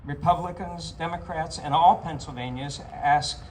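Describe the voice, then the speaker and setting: A man's voice: a little high, moderate pace, slight background noise. A man giving a rousing, rhetorical comment at a town hall rally. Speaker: rally speaker.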